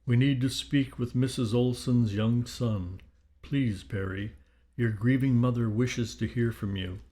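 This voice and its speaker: Man doing a booming, solemn séance medium. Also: steady tone, measured pace, mellow, lightly acted. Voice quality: deep, booming. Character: booming, solemn spirit medium channeling the beyond.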